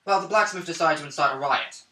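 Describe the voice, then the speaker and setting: A man's voice: a little high, fast talker, bright, forceful tone, slight background noise. A man playing a tabletop roleplaying game, chatting casually in character with a dry, wry manner. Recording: echoey room at gaming table.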